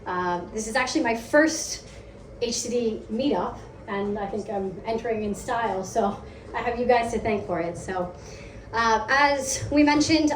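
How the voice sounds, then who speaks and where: Brief: American woman presenting at a meetup, fairly high voice, lively and chatty, echoey hall, distant mic. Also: slight background noise. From United States. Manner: lively, conversational, asks the audience questions. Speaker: product lead giving a meetup talk. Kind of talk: live conference talk. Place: event hall.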